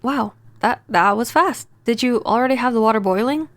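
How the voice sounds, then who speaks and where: A woman playing a gentle, apologetic vampire neighbor in a soft-spoken, hesitant ASMR audio roleplay. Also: on the high side, normal speed, no echo, lightly acted. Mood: bashful, cozy. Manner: hesitant, stammering, earnest.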